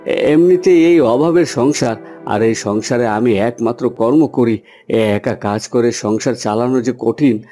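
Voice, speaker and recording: An actor playing a poor, humble and weary village farmhand in a cartoon fairy tale, speaking earnestly. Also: male voice, mid-range voice, mellow, natural voice. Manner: earnest, pleading.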